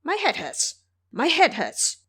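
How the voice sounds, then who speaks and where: A woman's voice: high-pitched, wildly swooping pitch, takes it slowly, fairly bright tone, dry recording, pitched above the performer's own voice, exaggerated voice. A woman voicing a rebellious, hot-tempered male monkey disciple in an audio storybook drama, exclaiming and pleading. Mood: pained.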